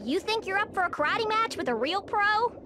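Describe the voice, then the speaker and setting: High, brash Texan cartoon squirrel gal. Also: female voice, lively intonation, a little fast, noisy background. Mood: competitive, confident. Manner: folksy, brash, twangy drawl. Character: feisty, sporty Texan cartoon squirrel woman. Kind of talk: animated video game voice acting.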